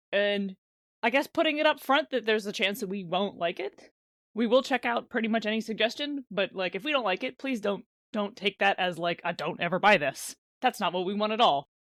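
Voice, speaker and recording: A woman's voice: medium pitch, a bit bright, dry recording, studio quality. A woman co-hosting a podcast in casual chat, hesitant and often correcting and qualifying what she says. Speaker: podcast co-host.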